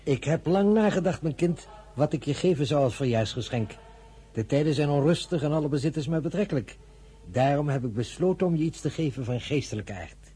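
An actor playing a solemn, idealistic father in a radio play, speaking gravely and deliberately with a husky voice. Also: on the high side, expressive, dark tone. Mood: gentle, solemn. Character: solemn, idealistic father advising his young daughter.

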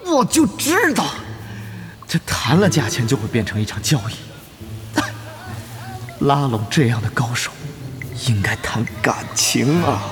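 Lively, effusive guild leader. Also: male voice, mid-range voice, takes it slowly, pauses often, over music. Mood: ingratiating. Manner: effusive, chummy, exclamatory. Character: affable, scheming male online guild leader, eager to please. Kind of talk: audio drama acting.

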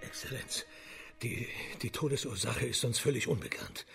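A man's voice: mid-range voice, expressive, normal speed, gravelly. An actor playing a nervous, deferential male temple abbot in a German radio crime drama, hesitant and obsequious. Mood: nervous, defensive.

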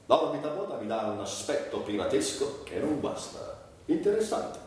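A man playing a bitter, self-mocking rebel in a stage monologue. His voice swings wildly from low murmurs to high outcries. It is heard from far off in a very echoey space. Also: very animated, moderate pace, a little mellow, close to an everyday voice. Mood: grotesque relish. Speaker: stage actor. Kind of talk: theatrical dramatic monologue adapted from a novel. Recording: very echoey stage, distant mic, noisy.

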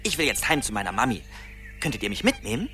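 A man's voice: mid-range voice, very animated, fast talker, lightly acted. A man acting a small, cheeky earwig soldier who is weary of soldiering, in a radio play. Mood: fed up. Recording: music behind the voice.

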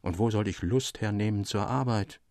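A man's voice: fairly low pitch, normal speed, creaky, a little mellow, no room sound, clean studio sound. A man voicing a dreamy, melancholy young sailor with a fervent, dramatic delivery in an audio story. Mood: weary disgust.

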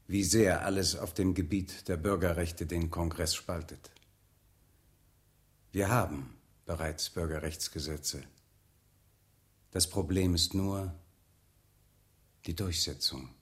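An actor playing a reserved, courteous American president in a radio drama, speaking in a formal, diplomatic way. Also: male voice, sits low, fairly even intonation, takes it slowly, pauses often. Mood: polite, regretful.

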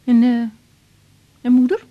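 An actress playing an overworked young woman doctor in a radio drama, caring and down-to-earth, husky and matter-of-fact with hesitant restarts. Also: on the high side, unhurried, muffled recording, close to an everyday voice. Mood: gently probing. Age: young adult. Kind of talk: naturalistic radio drama acting.

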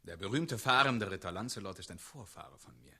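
A steadfast wandering knight in a 1960s radio play. His voice is bright and firm, calm and understated yet fearless in manner. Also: lowish voice, fairly quick, husky, exaggerated voice. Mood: steady, defiant.

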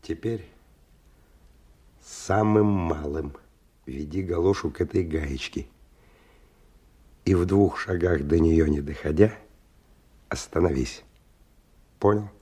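An actor playing a gruff, wary stalker who gives terse orders and tells his story in a 1990 radio play. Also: low voice, takes it slowly, pauses often, mellow, full character voice. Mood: gruff, tense. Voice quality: gravelly, husky. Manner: terse, colloquial, commanding. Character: gruff, wary, bossy male stalker leading a dangerous expedition. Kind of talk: 1990 radio drama acting with first-person narration.

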